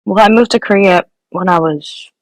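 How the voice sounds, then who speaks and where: A woman's voice: mid-range voice, normal speed, no room sound. A young woman from Nepal, a guest engineer, answering interview questions casually with many fillers and restarts.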